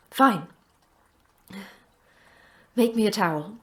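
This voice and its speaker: An actress playing a terse, sardonic manager of a secret polar facility in an audio drama, with a dry, curt delivery. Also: female voice, mid-range voice, animated, extremely slow, a bit bright, slightly rough, heavily acted. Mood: irritated. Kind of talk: audio fiction acting.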